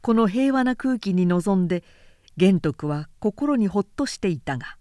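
A woman's voice: medium pitch, lively intonation, moderate pace, mellow, no room sound, clean studio sound. A woman narrating a literary audiobook in a composed, dramatic storytelling manner.

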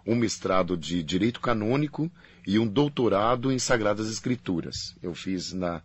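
Deep husky priest. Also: male voice, moderate pace. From Paraná, Brazil. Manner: calm, explanatory, repeats key phrases. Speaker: priest and faculty representative. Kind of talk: interview guest explaining at length.

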